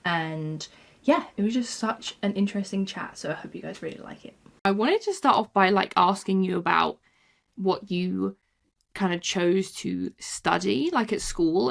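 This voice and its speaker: A woman's voice: on the low side, creaky. A woman hosting a podcast, introducing her guest with enthusiasm and asking questions with lots of "like" and "kind of". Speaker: podcast host.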